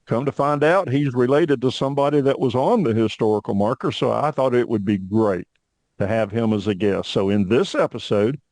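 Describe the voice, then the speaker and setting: A man's voice: mid-range voice, moderate pace, darkish tone, no echo. A middle-aged man hosting a podcast, friendly and chatty, with frequent uhs as he talks. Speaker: podcast host.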